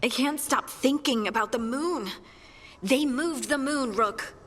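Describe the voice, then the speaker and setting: A woman's voice: high voice, measured pace, a bit bright, big-room sound. An actress playing an anxious, earnest young woman companion in a video game, hesitant and emotional in delivery. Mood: anxious, shaken. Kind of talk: dramatic video game acting.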